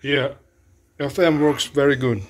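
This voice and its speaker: A man casually narrating his hands-on repair work as a hobbyist, in a halting, matter-of-fact way. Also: mid-range voice, fairly even intonation, a little hiss or hum. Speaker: hobbyist radio restorer. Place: indoor home electronics workbench.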